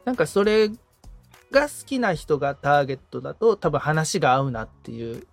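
Animated man chatting on a podcast. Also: a little high, brisk, gravelly, faint music behind. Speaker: podcast assistant, guitarist being coached. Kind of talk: casual explanatory conversation.

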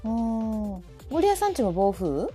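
A woman's voice: a little high, very animated, normal speed, warm tone, faint music behind. A woman with a Kansai accent hosting a casual live chat, often chiming in with repeated little acknowledgements. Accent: Kansai Japanese. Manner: frequent repeated backchannels. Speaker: host of a live audio show.

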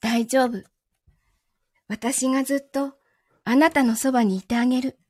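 A woman playing a possessive lover in a voice drama, speaking gently and calmly with quiet menace. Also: high-pitched, no echo. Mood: sweetly possessive. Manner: soft, gentle, unhurried delivery without shouting. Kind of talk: Japanese voice drama acting.

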